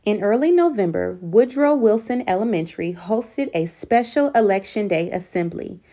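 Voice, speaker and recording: A woman reading a picture book aloud as an expressive, deliberate storyteller for young listeners. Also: mid-range voice. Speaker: children's story reader.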